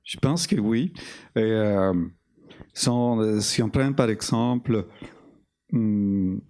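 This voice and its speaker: Slow, halting man from Colombia. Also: fairly low pitch, mellow, clean tone. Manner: slow and halting, many fillers and restarts. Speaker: panel guest, cartoonist and illustrator. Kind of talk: panel discussion at a festival.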